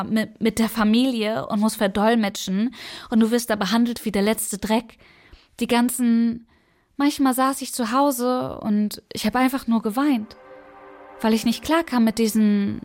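A woman's voice: on the high side, darkish tone. A young woman from Afghanistan in her twenties, telling her own story in a documentary interview, hesitant with many fillers and emotional.

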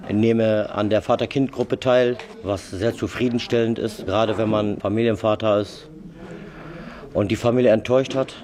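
A man's voice: lowish voice, steady tone, moderate pace, darkish tone. A man in his late thirties speaking as an interviewee, giving an account of himself. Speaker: prison inmate being interviewed. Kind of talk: spoken interview self-introduction.

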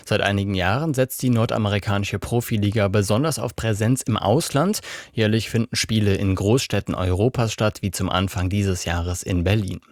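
A man's voice: fairly low pitch, moderate pace, darkish tone, no echo, clean studio sound. A man presenting a scripted sports news programme on the radio.

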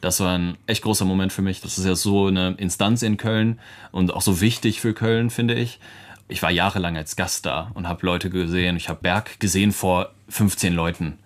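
A young musician, a native English speaker, chatting casually in an interview with many fillers and easy laughs. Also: male voice, low-pitched, fairly even intonation, moderate pace. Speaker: musician and producer. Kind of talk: relaxed interview talk.

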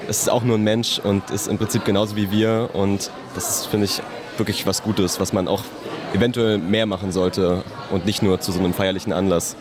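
Teenage boy, low flat voice, crowd. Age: teens. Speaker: student. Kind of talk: interview remarks.

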